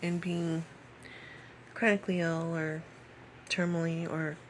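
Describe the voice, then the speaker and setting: A woman in her late thirties talking personally to camera in a halting way, repeating phrases and sometimes dropping to a whisper. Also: low-pitched, level tone, a little hiss or hum, rough recording. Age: late 30s. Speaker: vlogger.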